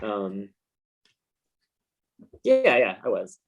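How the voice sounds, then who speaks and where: A man's voice: on the low side, wildly swooping pitch, very slow, gravelly, highs cut off. A man chatting casually as an interview guest, in a rambling, understated, laid-back way. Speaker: interview guest, comic book artist. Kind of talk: casual chat.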